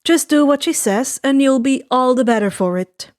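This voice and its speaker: A woman's voice: sits high, normal speed, darkish tone, dry recording, studio quality, natural voice. Woman putting on a wry male underworld broker. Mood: wry, knowing.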